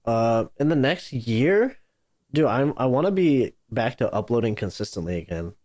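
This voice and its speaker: A man's voice: medium pitch, sing-song, moderate pace, a little mellow, dry recording. Laid-back bro-talking young man, dry sound. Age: 20s. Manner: laid-back, fills talk with "like", "dude" and "bro". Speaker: YouTuber. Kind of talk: casual podcast chat.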